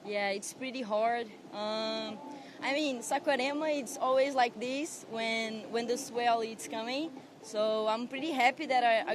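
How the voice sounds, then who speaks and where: A young woman with a Brazilian Portuguese accent, an athlete giving a post-event interview in relaxed, simple phrasing. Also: a little high, noisy background. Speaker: athlete interviewed after competing.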